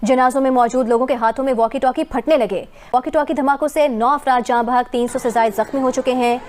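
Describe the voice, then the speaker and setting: A woman's voice: high voice, fairly even intonation, brisk, a little mellow, a little hiss or hum. A woman news anchor reading a scripted bulletin in formal broadcast style.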